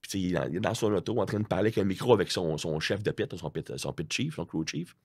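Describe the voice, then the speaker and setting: A man's voice: low-pitched, fast talker, breathy edge. A man with a Quebec French accent chatting casually as a podcast guest, telling stories in a slangy, self-mocking way. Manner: animated storytelling with slangy asides and self-mockery. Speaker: podcast guest, strength coach.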